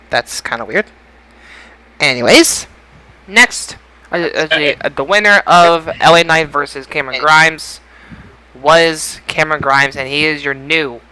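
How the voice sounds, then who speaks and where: A man's voice: fairly high pitch, pushed and bright, clean tone, poor audio. A man hosting a casual sports results discussion on a podcast. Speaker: podcast host.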